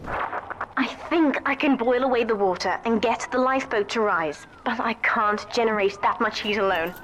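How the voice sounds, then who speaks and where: A woman's voice: on the high side, normal speed, fairly bright tone, lightly acted, rough recording. A woman voicing an earnest, devoted teenage daughter in a video game, guiding her father, with noise behind her. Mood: worried, resolute.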